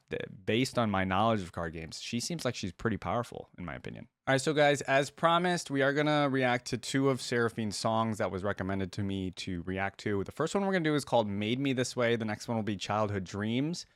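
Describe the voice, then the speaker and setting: A man's voice: fairly low pitch, no echo, clean studio sound. A young man hosting a reaction video, commenting casually in a laid-back, musing way.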